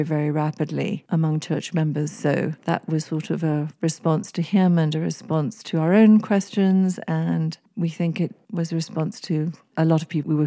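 A woman from East Africa with an English accent talks as a guest on a podcast. Her voice sits low and warm. She chooses her words with care and phrases them formally. The recording is dry and close. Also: dry recording. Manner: thoughtful, articulate, formal phrasing. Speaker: guest author. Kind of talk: podcast interview.

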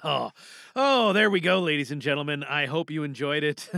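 A man with a fairly high, bright voice talks casually to his listeners as a voice actor. He is jokey and rambling, with a creaky edge, recorded dry and close. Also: lively intonation, normal speed, no echo. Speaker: voice actor presenting his performance.

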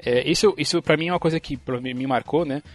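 A man with a Brazilian accent hosting a casual film discussion, rambling and halting as he repeats words. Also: on the high side, faint music behind. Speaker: film podcast host.

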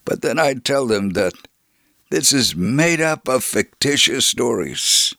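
A man's voice: medium pitch, animated, takes it slowly, gravelly, pushed and bright, dry recording, studio quality, heavily acted. A man performing a dramatic memoir reading as a devout, patient older man recounting his ministry. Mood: measured, reflective.

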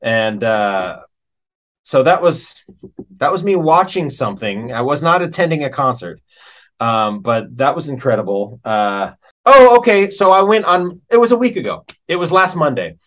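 A middle-aged American man chatting casually as an interview guest, telling stories in an animated, colloquial way. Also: mid-range voice, normal speed.

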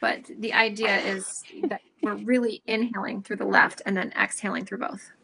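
A woman co-hosting a casual chat, calmly explaining breathing practice. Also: mid-range voice, normal speed, creaky, poor audio.

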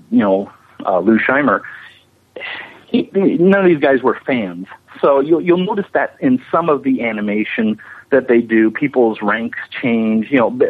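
A man's voice: fairly low pitch, slightly rough, phone-quality sound. A man giving casual interview answers as an animator guest, explaining things at length in a modest, self-deprecating way.

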